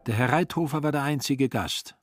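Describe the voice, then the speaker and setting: A man narrating a literary radio drama in a scripted storytelling style, with a husky voice. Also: medium pitch, moderate pace, loud music behind the voice.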